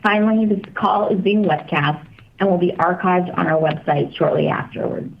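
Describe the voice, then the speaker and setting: Creaky, low-pitched woman reading a corporate script. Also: moderate pace. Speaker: investor relations call moderator. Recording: dull call audio with faint noise.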